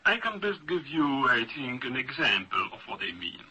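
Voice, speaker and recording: A man's voice: mid-range voice, very animated, moderate pace, bright, forceful tone, rough recording. A man speaking as a professor in a formal radio discussion, choosing his phrases carefully. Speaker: professor of social psychology, panel guest. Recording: old radio recording, faint noise, clicks.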